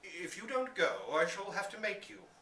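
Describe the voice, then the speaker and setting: A man playing a stuffy flustered landowner, indignant, authoritative, bright forceful tone, storybook reading, distant mic. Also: high-pitched, huge pitch swings, normal speed, voice pushed up, exaggerated voice. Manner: stammering, demanding, authoritative. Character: flustered, proper Edwardian gentleman landowner, indignant. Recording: roomy, distant live recording with hiss.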